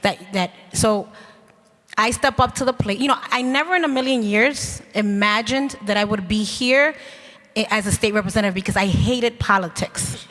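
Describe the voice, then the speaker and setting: A woman state representative answering on a panel in an earnest, conversational way, often ending points with a quick "right?". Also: medium pitch, fairly bright tone. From New York City. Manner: conversational, earnest, with tag questions. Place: conference hall panel. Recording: reverberant hall.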